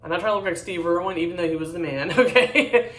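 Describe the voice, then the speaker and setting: A deep-voiced woman in her forties chatting casually to her viewers, rambling, blunt and candid. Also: fast talker, fairly bright tone, far from the mic. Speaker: vlogger. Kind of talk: casual chat to camera. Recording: echoey room, voice a bit off-mic.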